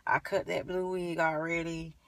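Gravelly young woman chatting casually. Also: fairly low pitch, little pitch movement, normal speed, noisy background. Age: young adult. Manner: informal, rambling, thinking aloud. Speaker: beauty vlogger. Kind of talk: casual chat to camera. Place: inside a parked car. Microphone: phone at arm's length. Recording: slightly distant phone recording.

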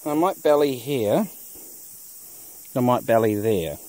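A man's voice: mid-range voice, expressive, slow pace, darkish tone. A man in his thirties thinking aloud in an understated way, giving casual off-the-cuff commentary as an off-road vlogger. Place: outdoors on a forest dirt track. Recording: noisy outdoor handheld camera audio.

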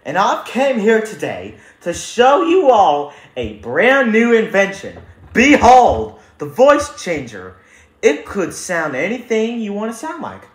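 A performer voicing a toy squid inventor in a homemade puppet skit, presenting like a showman with drawn-out announcements. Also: mid-range voice, huge pitch swings. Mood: showy. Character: showy toy squid inventor, eagerly demonstrating a gadget. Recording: echoey room with faint noise.

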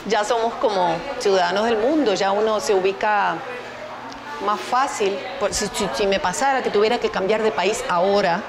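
Animated Uruguayan woman in her fifties. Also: medium pitch, normal speed. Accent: Uruguayan Spanish. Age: 50s. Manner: lively, animated, laughs easily, gestures with hands. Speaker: guest. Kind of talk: TV interview, casual chat. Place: TV studio with stone backdrop. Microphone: lapel mic.

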